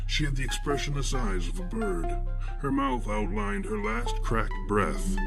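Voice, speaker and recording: A man acting as a somber first-person narrator of horror fiction, grave and deliberate in ornate storytelling. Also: deep voice. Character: somber male narrator recalling nightmarish horrors. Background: loud music.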